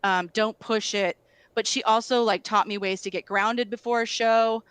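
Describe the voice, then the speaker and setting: A woman's voice: fairly high pitch, steady tone, moderate pace, fairly bright tone, clear, resonant tone, no room sound. A middle-aged woman, a guest musician, telling stories casually and filling her speech with "like". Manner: storytelling, filler-heavy, lots of "like". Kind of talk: casual conversation.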